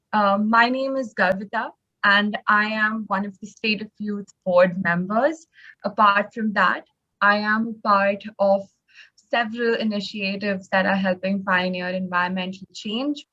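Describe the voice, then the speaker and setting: Woman host on a podcast, polite and measured, kinda dull muffled audio. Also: mid-range voice, a bit bright. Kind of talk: podcast hosting.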